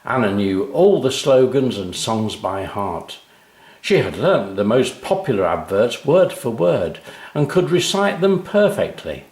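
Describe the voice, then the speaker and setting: Guy reading a kids story, really lively up and down voice, mid pitch, echoey room. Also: unhurried. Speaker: author reading his own story. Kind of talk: animated children's story narration.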